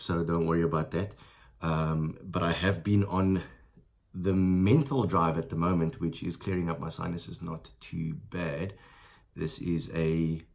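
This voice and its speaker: A South African man in his thirties with a stuffy nose from the flu, reviewing a product in a casual, rambling way with many fillers. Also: low-pitched. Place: small room indoors at a table.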